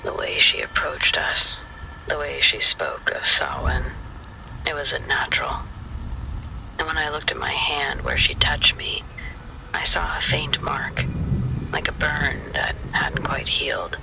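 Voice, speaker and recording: A man tells a scary first-person story in a hushed, suspenseful way. His delivery is slow and deliberate, with very heavy creak in the voice and pitch that rises and falls freely. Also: big pitch swings, in a whisper, poor audio. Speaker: horror story narrator. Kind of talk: first-person scripted spooky narration.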